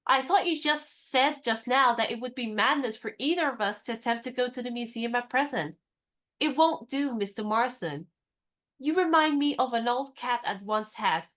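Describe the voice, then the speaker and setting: An actress playing a spirited, independent young woman in a radio play, speaking in a crisp, teasing and firmly insistent way. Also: a little high, normal speed, fairly bright tone, close to an everyday voice. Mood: determined.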